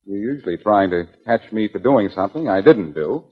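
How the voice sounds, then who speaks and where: Wisecracking 1940s radio sleuth: husky, glib, lively mid-pitched delivery, dull lo-fi recording. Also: male voice, fairly quick. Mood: sardonic, insistent. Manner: sardonic, teasing, glib. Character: cocky, wisecracking male amateur detective, taunting police. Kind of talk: 1940s radio detective drama acting.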